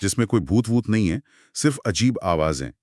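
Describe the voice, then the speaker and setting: A man co-hosting a podcast, retelling a horror story in a dramatic, suspenseful way with pauses. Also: fairly low pitch, animated, darkish tone, slight background noise. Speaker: podcast co-host.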